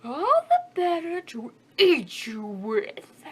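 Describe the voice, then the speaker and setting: A woman's voice: very high-pitched, huge pitch swings, takes it slowly, fairly bright tone, voice pushed up, exaggerated voice. A woman reading a storybook aloud and voicing a sly, charming male wolf who sweet-talks and gloats. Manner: sweet-talking, smug.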